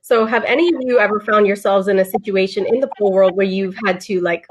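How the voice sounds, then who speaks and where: A woman moderating a panel discussion, asking brisk conversational questions over a video call. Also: medium pitch, steady tone.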